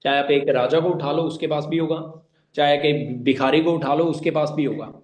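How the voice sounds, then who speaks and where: A man with a slightly high voice teaches an online meeting informally. He speaks emphatically, repeats key points and puts questions straight to his listeners. English words are mixed into his speech. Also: steady tone, moderate pace, darkish tone. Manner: emphatic and repetitive, addressing listeners directly with rhetorical questions. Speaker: online network-marketing trainer.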